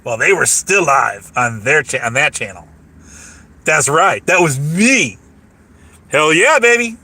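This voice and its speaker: A hoarse man rambling casually on a livestream, boastful, repeating himself and laughing mid-sentence. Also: fairly high pitch, expressive, a little slow, bright, forceful tone.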